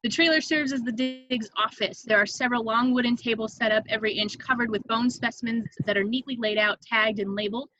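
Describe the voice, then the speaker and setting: A woman's voice: fairly high pitch, fairly even intonation, brisk, few pauses, sharp tone, muffled recording, slight background noise. A woman reading stage directions as scripted narration for a table read.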